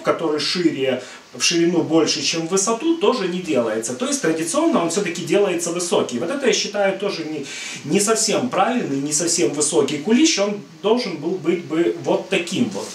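A man's voice: fairly high pitch, a little hiss or hum. A middle-aged man from Ukraine hosting a cooking channel, explaining in a friendly, rambling way with many "uh" fillers, recorded in a home kitchen. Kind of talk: casual cooking talk to camera. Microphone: far camera. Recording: echoey kitchen, voice from a distance.

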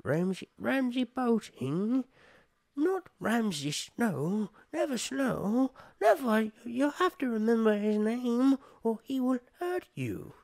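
A man voicing a tormented young male prisoner in a high, strained, creature-like way, frantic and pleading. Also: animated, slow, creaky, a little mellow, full character voice.

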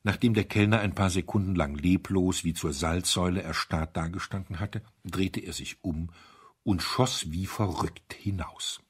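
A male audiobook narrator with a low, strongly husky voice. He reads literary prose in a measured and expressive manner, keeping a wry, formal tone.

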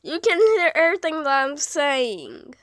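A woman's voice: sits high, sing-song, measured pace, dry recording, clean studio sound. A woman playing a story game and chatting casually, giggly and playful. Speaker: game player. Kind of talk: casual let's-play commentary.

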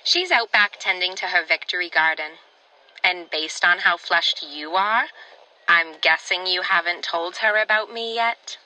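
Woman playing a sharp, sassy diner waitress. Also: on the low side, a little slow, low-quality recording. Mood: teasing. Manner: pointed, flirtatious needling. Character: sassy, teasing small-town diner waitress, old flame. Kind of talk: old-time radio drama acting.

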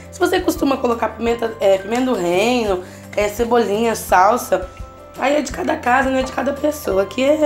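Chatty woman talking through a home recipe. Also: on the high side. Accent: Brazilian Portuguese. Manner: chatty, constantly checking in with the viewer. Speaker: cooking channel host. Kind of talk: casual home cooking tutorial. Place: home kitchen, at the stove.

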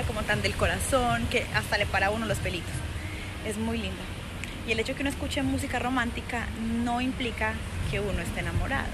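A woman's voice: fairly high pitch, poor audio. A young adult woman with a Colombian paisa accent chatting playfully into her phone while vlogging outdoors. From Colombia, Antioquia. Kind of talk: casual selfie vlog chat. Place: outdoors at a beach, later a club. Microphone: phone at arm's length.

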